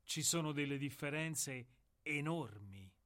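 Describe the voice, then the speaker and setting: Slow, brooding old executioner. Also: male voice, on the high side, lively intonation, a bit bright. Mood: brooding, humble. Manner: deliberate, declamatory, philosophical, with weighted pauses. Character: old world-weary male executioner, humble and brooding. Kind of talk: dramatic radio play monologue.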